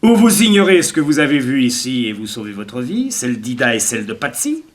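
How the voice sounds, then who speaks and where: An actor playing a smooth, gloating blackmailer and murderer in a theatrical 1960s French radio serial, mock-courteous and insistent. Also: male voice, medium pitch, sing-song, brisk, natural voice. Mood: menacing.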